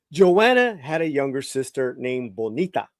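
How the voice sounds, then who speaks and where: A man performing a dramatic live reading of his own first-person novel, swinging between hushed lines and emphatic ones, often restarting words. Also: mid-range voice, big pitch swings, takes it slowly, dry recording, clean studio sound.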